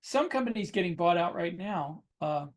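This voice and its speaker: Guy with a kinda high voice, podcast cohost, casual banter, wry and jokey. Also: animated, moderate pace. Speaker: podcast co-host and science fiction writer.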